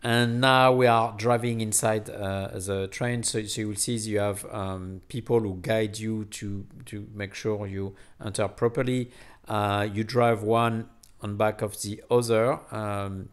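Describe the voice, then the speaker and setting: A man with a lowish voice narrates a casual travel vlog. He speaks very slowly and haltingly, feeling his way through each sentence as he explains things to viewers. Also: steady tone. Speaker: vlogger.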